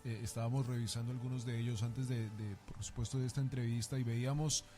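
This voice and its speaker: Male co-host, courteous and formal, mid pitch, faint music underneath. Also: level tone, fast. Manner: courteous, with hesitant fillers. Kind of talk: formal radio interview hosting.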